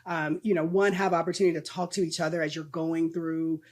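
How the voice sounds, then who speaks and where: A woman's voice: low voice, level tone, fairly quick. A middle-aged woman from Southern California, a guest talking casually in a podcast interview, with many ums and restarts and easy laughter. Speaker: guest, nonprofit executive.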